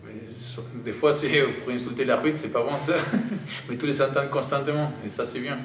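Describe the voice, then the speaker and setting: A male rugby player from Argentina answers questions in halting French with a Spanish accent. His pitch is middling. The recording is roomy and distant, with some noise behind him. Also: steady tone. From Argentina (Tucumán, in the north). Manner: halting, searching for words in non-native French. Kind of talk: press conference answers. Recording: roomy, distant microphone, audible background noise.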